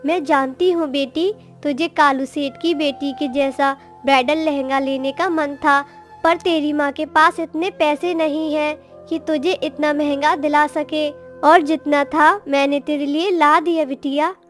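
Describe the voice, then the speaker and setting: A woman voicing a poor, caring mother bird in a moral-story cartoon, speaking in a gentle, earnest, affectionate way. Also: high voice, fairly even intonation, a little slow, soft background music. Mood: apologetic, loving.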